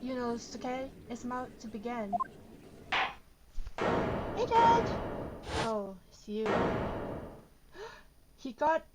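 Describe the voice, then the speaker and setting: A woman's voice: on the high side, very animated, slow, pauses often, very noisy. A woman live-streaming a game from her bedroom, reading dialogue aloud and reacting in a dramatic, casual, exclaiming way as she talks to herself. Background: loud game music.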